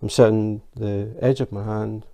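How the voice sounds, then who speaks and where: A Scottish man with a gravelly, warm voice that sits a little low. He talks through a crafting tutorial at an easy, chatty pace, dotted with ums and restarts. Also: fairly even intonation. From Scotland. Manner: chatty and unhurried, with fillers. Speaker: crafting channel host. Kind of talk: casual tutorial.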